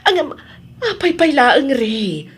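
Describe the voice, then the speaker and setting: Concerned mother played by a woman, high voice with lively swings, insistent, for radio-style family drama. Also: slow pace, exaggerated voice. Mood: insistent, worried. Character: concerned, questioning mother of a grown son.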